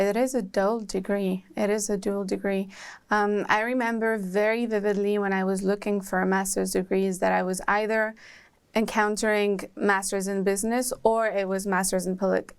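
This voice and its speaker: A woman's voice: mid-range voice, little pitch movement, moderate pace, gravelly, clear, resonant tone. A young woman student from Morocco answering interview questions in an articulate, composed way.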